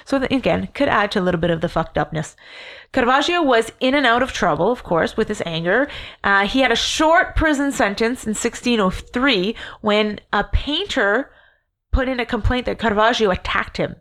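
A woman's voice: fairly high pitch, expressive, moderate pace, fairly bright tone. Woman telling a story on a podcast, jokey and swears, rambles a lot. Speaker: podcast co-host. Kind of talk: casual storytelling chat.

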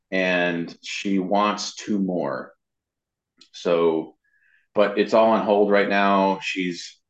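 A man giving a casual, rambling interview as a guest, telling anecdotes about his work. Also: low voice. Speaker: interview guest, voice actor and web designer. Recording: dull, roomy, lo-fi sound.

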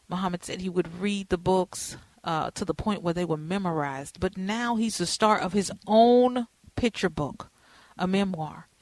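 A woman's voice: lowish voice, no echo. A woman hosting a radio show, introducing and interviewing a guest in a conversational way with frequent fillers.

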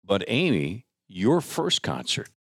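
A man hosting a casual interview podcast in a chatty, folksy, somewhat halting way. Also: lowish voice, big pitch swings, vocal fry, no room sound, clean studio sound. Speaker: podcast host.

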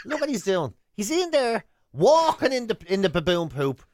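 A man's voice: high voice, moderate pace, noisy background. An Irish man co-hosting a radio show, chatting casually and telling comic stories, acting out the dialogue as he goes. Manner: animated anecdote-telling with acted-out dialogue. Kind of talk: casual comic chat and storytelling. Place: radio studio.

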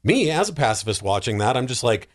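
A man with a very animated, swooping delivery talks casually as a panelist in a film discussion. His pitch sits in an ordinary male range, and he slips into mock reactions as he argues. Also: fast talker. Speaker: podcast panelist discussing films.

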